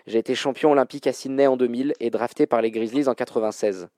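A man with a mellow, relaxed voice hosts a sports trivia game. His delivery is informal and full of colloquial slang, and the sound is close and dry. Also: medium pitch, fast talker, no room sound. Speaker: quiz show host. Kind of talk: hosting a basketball trivia quiz, casual banter.

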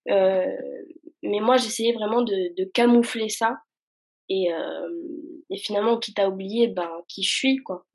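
A woman's voice: mid-range voice, steady tone, unhurried, breathy edge. A young woman speaking in a casual conversation, hesitant and searching for words with many fillers.

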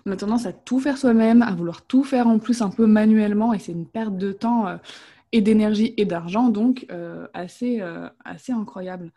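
Husky, mellow-toned female podcast host. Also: medium pitch, moderate pace. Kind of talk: casual conversational interview.

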